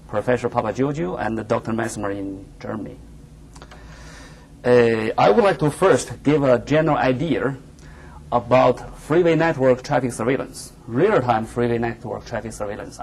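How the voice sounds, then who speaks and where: A man's voice: medium pitch, a little mellow. Male researcher with a Chinese accent, mid-range voice, careful and formal seminar delivery. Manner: formal, careful, deliberate phrasing. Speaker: visiting researcher giving a seminar. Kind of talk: academic presentation. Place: university lecture room, indoors.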